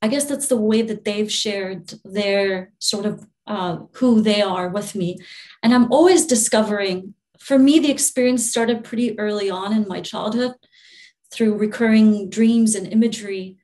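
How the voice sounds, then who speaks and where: Young woman from albania, interview guest, soft and loose, lots of um and you know, laughs a bunch. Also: mid-range voice, measured pace. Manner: soft-spoken, laughs often, many fillers and restarts. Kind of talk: relaxed conversational interview.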